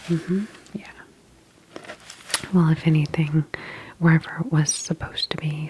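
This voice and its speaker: A woman speaks in a soft, breathy whisper at a very slow pace. Her voice sits slightly low, and she is gentle and reassuring as she plays a friendly doctor in an ASMR roleplay. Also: rough recording. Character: friendly sci-fi medical examiner, adult woman. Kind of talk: ASMR personal-attention roleplay.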